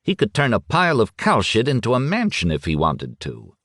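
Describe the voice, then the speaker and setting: A man reading an audiobook and voicing a calm, stubborn aging village man who speaks quietly and firmly. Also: mid-range voice, a little fast, dry recording, clean studio sound, voice pushed up. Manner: quiet and firm, sure of himself. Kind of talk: audiobook character voice.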